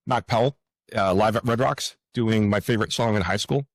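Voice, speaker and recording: A man with a low, deep voice chats as a guest in an interview. He speaks haltingly, pausing and doubling back on his words. The sound is close and dry. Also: no room sound. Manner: hesitant, halting, with frequent pauses and repeated words. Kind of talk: casual interview conversation.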